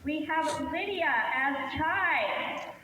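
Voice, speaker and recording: A woman's voice: high-pitched, slow pace, lo-fi sound, heavy background noise. A woman announcing contestants at an event, recorded from far off in a large echoey hall. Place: large reverberant room, heard from a distant camera.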